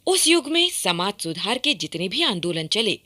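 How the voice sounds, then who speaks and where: A woman delivering formal, emphatic scripted narration for a historical radio documentary, in measured phrases. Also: medium pitch, very animated, sharp tone.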